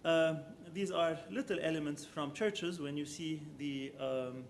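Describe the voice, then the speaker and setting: A man, a professor of architecture, giving an academic lecture with slides, speaking in a measured way that thinks aloud and often corrects himself. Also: on the high side, slight background noise.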